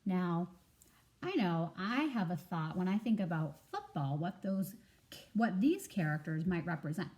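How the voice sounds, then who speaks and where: A woman teacher reading a children's story aloud, enunciating expressively and pausing to prompt listeners with questions. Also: on the low side, very animated, a little mellow.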